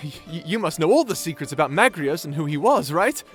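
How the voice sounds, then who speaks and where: A man's voice: on the high side, expressive, brisk, fairly bright tone, soft background music. A man playing a shy, nerdy young wizard in a fantasy audio roleplay, stammering, bashful and apologetic. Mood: flustered, apologetic. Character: shy nerdy young male wizard, flustered, eagerly polite.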